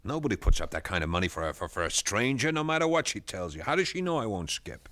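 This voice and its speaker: A man's voice: on the low side, big pitch swings, quick pace, a bit bright. An actor playing a suspicious, scheming man out on bail in a 1970s radio drama, talking with agitated stammers.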